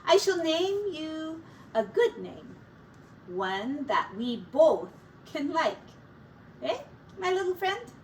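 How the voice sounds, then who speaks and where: Woman reading as a kindly, slow-speaking old village grandmother. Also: high-pitched, big pitch swings, voice pushed up, exaggerated voice. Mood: tender. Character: kindly old Russian village grandmother who paints eggs. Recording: distant mic with faint background noise.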